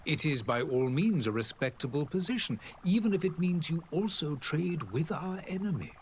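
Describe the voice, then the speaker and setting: An actor playing a dignified, courteous diplomat in a role-playing game, speaking formally and eloquently. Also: male voice, a little high, animated, moderate pace, a little hiss or hum. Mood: proud, explanatory. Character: dignified male diplomat of a foreign alliance, proud and courteous.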